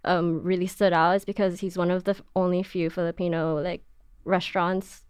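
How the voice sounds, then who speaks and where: A young woman who grew up in Singapore, a food blogger guest, speaking in a relaxed way with fillers. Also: lowish voice, a little fast, clear, resonant tone, dry recording, clean studio sound.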